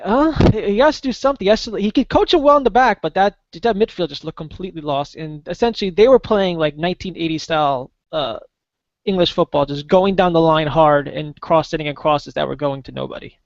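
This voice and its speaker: Casual male guest voice, pitched high, dry close sound, lots of ums. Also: gravelly, dry recording. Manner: halting, many fillers and restarts. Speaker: guest soccer contributor. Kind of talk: casual podcast chat. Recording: dull lo-fi podcast audio, dry.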